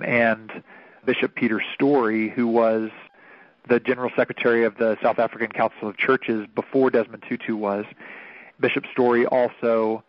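Minister, late thirties, from Oklahoma; earnest, reflective interview guest, dull radio sound. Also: male voice, mid-range voice, dry recording. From Oklahoma, United States. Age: 30s. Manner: earnest, thoughtful, measured storytelling.

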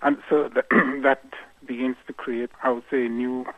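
A man's voice: medium pitch, flat delivery, moderate pace, no echo. A man from Cameroon, a guest musician in a radio interview, speaking thoughtfully with many fillers and restarts. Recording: tinny phone line.

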